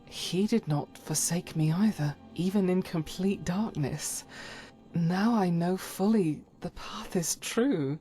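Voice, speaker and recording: Woman playing a solemn, guilt-ridden warrior of faith, slow. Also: fairly low pitch, warm tone, soft background music. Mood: resolute, grateful. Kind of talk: dramatic video game mod voice acting.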